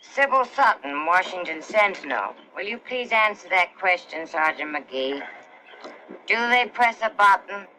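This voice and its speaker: An actress playing a skeptical newspaper reporter questioning soldiers in a 1960s war film, heard through a poor playback. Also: female voice, fairly low pitch, lively intonation, unhurried, pushed and bright, a little hiss or hum. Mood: probing. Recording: poor film clip played back.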